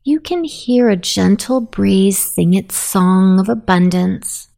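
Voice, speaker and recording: Slow, creaky-voiced woman guiding sleep meditation. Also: medium pitch, expressive. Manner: soothing, unhurried, softly spoken. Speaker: guided meditation host.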